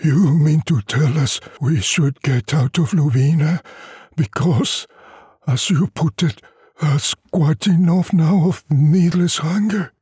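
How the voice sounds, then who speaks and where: Man voicing a high, husky, world-weary villager. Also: slow pace, mellow, no room sound, clean studio sound, exaggerated voice. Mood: stubborn, plaintive. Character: stubborn, fatalistic poor villagers, world-weary and wry.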